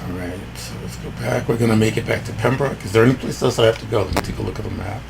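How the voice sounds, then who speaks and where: A man with a rough voice commenting casually on his gameplay, rambling and thinking aloud. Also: on the low side, fast, noisy background. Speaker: let's play host.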